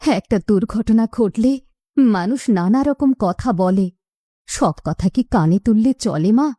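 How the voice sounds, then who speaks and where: A woman's voice: medium pitch, expressive, takes it slowly, dark tone, no room sound, studio quality. A woman playing a weary, plaintive widowed village mother in a cartoon. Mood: pleading. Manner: plaintive, careworn. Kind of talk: cartoon voice acting.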